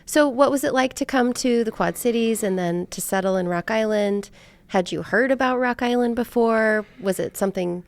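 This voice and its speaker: Woman podcast host, smooth voice w lots of vocal fry, casual chat. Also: a little high, normal speed. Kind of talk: casual conversational interview.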